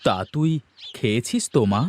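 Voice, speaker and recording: A man voicing a gentle caring father. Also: mid-range voice, normal speed, lightly acted. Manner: gentle, concerned, lively. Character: kind caring father, middle-aged man. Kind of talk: cartoon voice acting.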